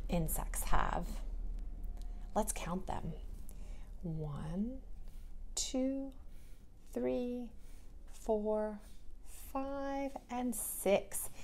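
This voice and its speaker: A woman preschool teacher giving a read-aloud lesson for young children, with careful, simple, questioning delivery. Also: mid-range voice.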